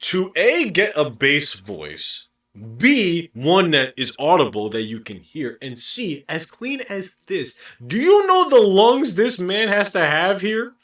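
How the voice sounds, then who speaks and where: A man co-hosting a reaction video, chatting casually, animated and laughing often as he explains singing technique. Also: sits high, slow pace, distant mic. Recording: roomy sound, away from the mic.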